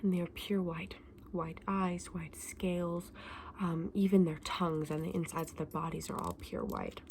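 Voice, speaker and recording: Soft, whispery woman with a creaky low voice. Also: sing-song, slight background noise. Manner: gentle, unhurried, informal explaining. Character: gentle, chatty woman selling dragon eggs. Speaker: ASMR roleplayer playing a shopkeeper. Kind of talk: soft-spoken ASMR roleplay, often whispered.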